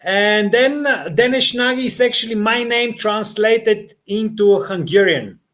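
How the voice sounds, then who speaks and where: A man's voice: high-pitched, lively intonation, unhurried, fairly bright tone. A man, an actor guest chatting casually in a Q&A, explaining things with hesitant good humour. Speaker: guest actor and theatre artist. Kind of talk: casual Q&A chat.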